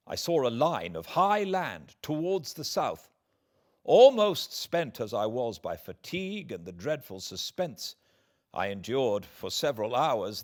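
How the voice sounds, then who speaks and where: A man with a British accent reading classic literature aloud as an audiobook narrator, in a theatrical, deliberate way with dramatic pauses. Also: on the low side. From Britain.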